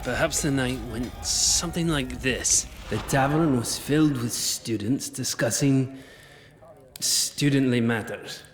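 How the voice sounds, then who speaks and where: A man playing an earnest, formal old general in a fantasy audio drama, speaking in a measured, direct way. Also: medium pitch, faint music behind, lightly acted. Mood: measured, speculative. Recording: echoey, reverberant space.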